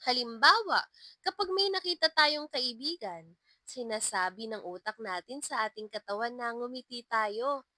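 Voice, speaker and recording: A woman's voice: on the high side, lively intonation, normal speed, bright, forceful tone, no room sound. A woman voicing a kind, encouraging female elementary school teacher in a narrated story.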